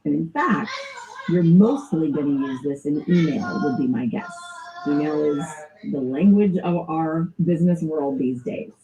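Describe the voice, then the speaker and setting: A woman with a Southern US accent coaches listeners on sales calls in a relaxed, informal tone, laughing easily as she goes. Her voice sits a bit low and sounds warm and mellow. The recording is slightly dull and lo-fi. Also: measured pace, a little hiss or hum. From American South. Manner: casual, informal, laughs often. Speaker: sales trainer. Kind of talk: recorded training lesson.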